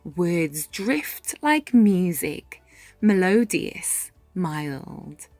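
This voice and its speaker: A woman reading a rhyming children's picture book aloud, with measured, dramatic phrasing and deliberate pauses. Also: lowish voice, lively intonation, darkish tone, no echo. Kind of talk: expressive children's read-aloud narration in rhyme. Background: music.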